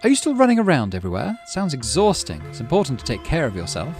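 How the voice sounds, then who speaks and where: Vain, catty pampered lady voiced by a man, high and affected, haughty game character. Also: wildly swooping pitch, quick pace, a little mellow, soft background music. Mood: condescending.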